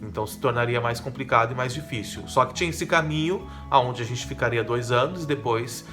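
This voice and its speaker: A man's voice: medium pitch, fairly quick. A man from São Paulo with a paulistano accent, chatting casually to his viewers as a vlogger and often checking in with tag questions. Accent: São Paulo Brazilian Portuguese. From São Paulo, Brazil. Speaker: vlogger on living in Portugal. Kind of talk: casual talk to camera. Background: soft guitar music.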